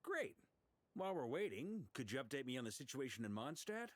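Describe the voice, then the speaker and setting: A man's voice: medium pitch, big pitch swings, a little fast, natural voice. A man playing a frank knight leader. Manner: friendly and direct, briefing others. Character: commanding male knight leader, calm and frank. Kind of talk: game voice acting.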